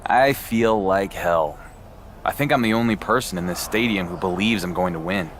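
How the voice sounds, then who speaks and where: A man's voice: low voice, moderate pace, a little hiss or hum, lightly acted. A man playing a battered, self-doubting wrestling champion in a video game cutscene. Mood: doubtful, discouraged.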